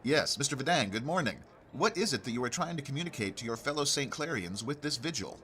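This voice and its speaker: A man acting as an earnest anchorman on a comic local radio newscast. His voice sits at a medium pitch, with a formal, measured delivery and polite persistence. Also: expressive, sharp tone, slightly rough. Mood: politely exasperated. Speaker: news anchor. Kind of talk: scripted radio newscast, parody.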